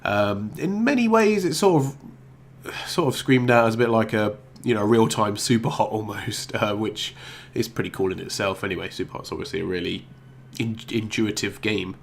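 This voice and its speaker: A man's voice: lowish voice, moderate pace, vocal fry. Stuffy-nosed man, rambling vlog. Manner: rambling and hesitant, many ums and restarts. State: blocked nose from a cold. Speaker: YouTube gaming channel host. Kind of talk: casual gaming news vlog.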